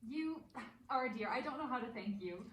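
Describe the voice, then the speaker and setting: An actress playing an anxious, earnest young wife in a play, speaking with breathless, giggly sincerity. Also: female voice, fairly high pitch, lively intonation, brisk, fairly bright tone. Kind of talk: stage play acting. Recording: roomy, distant mic, faint noise.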